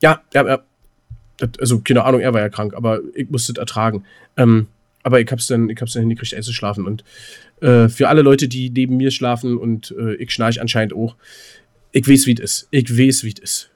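Halting, jokey man chatting casually. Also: mid-range voice, steady tone. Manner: halting, stammering, repeats words and phrases. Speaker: podcast co-host. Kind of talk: casual rambling podcast chat.

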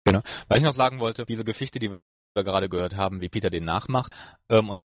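A man with a slightly low voice co-hosts a podcast. He explains and reviews in a casual, chatty way, recorded dry with no room sound. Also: a little fast, no echo. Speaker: podcast co-host.

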